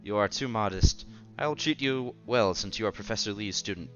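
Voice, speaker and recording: A man acts out an effusive scholarly gentleman from a visual novel. He speaks formally and flatteringly, in a bright, forceful voice over music, with slightly dull sound. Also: fairly low pitch, fairly quick, close to an everyday voice. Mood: hospitable. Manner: formal, polite, eager to flatter.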